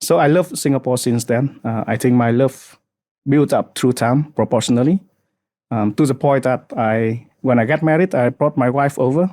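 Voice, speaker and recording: A man in his thirties with a Vietnamese accent talks in an earnest, halting way, with plenty of fillers. His tone is warm and mellow. The mic is close and dry. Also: medium pitch, dry recording. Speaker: podcast guest, founder. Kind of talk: casual podcast chat.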